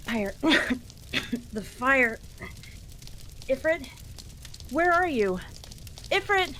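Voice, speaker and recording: Woman acting dazed, frightened, high-voiced young woman. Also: lively intonation, very slow, pushed and bright, slight background noise, heavily acted. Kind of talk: English fan dub of an anime game.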